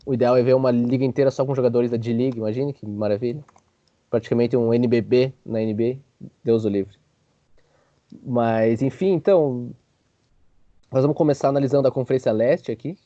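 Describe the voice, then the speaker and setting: Mellow, casual male sports show host. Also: mid-range voice, no echo, dull audio. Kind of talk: casual basketball commentary.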